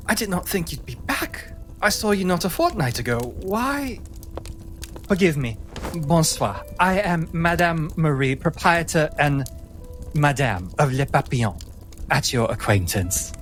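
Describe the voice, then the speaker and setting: A man as a gushing high-voiced madame. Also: big pitch swings, unhurried, a bit bright, soft background music. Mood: flustered welcome. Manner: gushing, theatrically gracious. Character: elegant French madame of a bordello, effusive and flirtatious.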